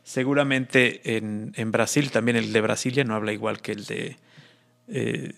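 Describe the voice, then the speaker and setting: A man with a rough, gravelly voice talks through his thoughts in a relaxed conversation. He often stops and repeats words while he thinks. His pitch sits in a middle range. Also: measured pace. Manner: reflective, hesitant repetitions. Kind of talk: casual conversation.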